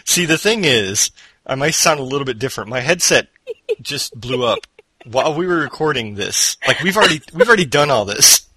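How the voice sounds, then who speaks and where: Bright-voiced man hosting a gaming podcast. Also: medium pitch, animated, a little fast, slightly rough, poor audio. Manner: rambling, self-deprecating, many fillers and restarts. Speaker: podcast host. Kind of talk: casual gaming podcast chat. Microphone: borrowed headset mic off to the side.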